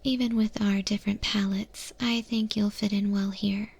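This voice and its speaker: A woman playing a calm, courteous eldritch monster chef in a soft-spoken, whispered ASMR roleplay. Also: medium pitch, vocal fry, slightly rough. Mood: gently cautioning. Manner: slow, gentle, polite, unhurried.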